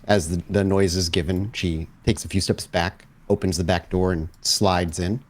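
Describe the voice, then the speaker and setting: A man's voice: low-pitched, fairly even intonation, normal speed. A man narrating a tabletop roleplaying game as storyteller, describing scenes and asking players questions.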